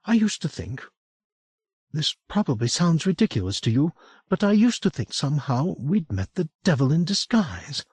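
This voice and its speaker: Chatty middle-aged woman, warm and teasing, lilting delivery with lively rises; a male narrator puts her on, dry close sound. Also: a little high, normal speed, breathy edge, dry recording, pitched above the performer's own voice. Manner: lilting and chatty, drops to a hush. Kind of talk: audiobook character voice.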